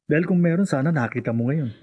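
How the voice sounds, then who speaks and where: A man playing a sensible, skeptical, calmly dismissive young man in a radio drama with slightly dull sound. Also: a little high, fast, breathy edge.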